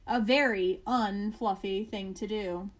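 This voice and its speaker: A woman reading a children's picture book aloud as a bedtime story, with expressive emphasis and casual asides. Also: mid-range voice, takes it slowly.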